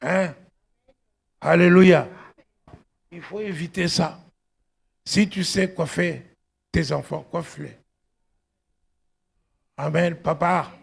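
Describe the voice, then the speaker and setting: A middle-aged male pastor delivers an exhortative sermon. His voice is fairly high, husky and gravelly. He speaks slowly and emphatically, punctuating his points with exclamations and questions to the congregation. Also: steady tone. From outside Belgium, arrived at eighteen.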